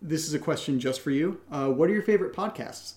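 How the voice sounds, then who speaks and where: Youngish guy podcast host, kinda high voice, jokey and informal, just chatting. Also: lively intonation, fast talker, darkish tone. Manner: playful, joking, informal. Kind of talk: casual unscripted Q&A chat.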